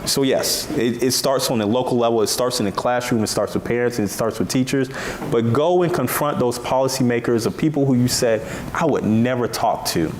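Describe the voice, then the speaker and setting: A man's voice: mid-range voice, brisk. A man on a panel, speaking in an earnest, urging way. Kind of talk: panel talk. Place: event hall. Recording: echoey hall, faint noise.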